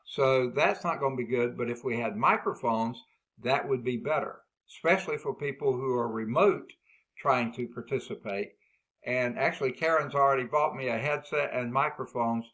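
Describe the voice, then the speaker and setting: A man with a loud voice, leading a math department staff meeting over a video call. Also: medium pitch, moderate pace, low-quality recording. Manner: loud voice, talkative and explaining. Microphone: laptop built-in mic on a video call.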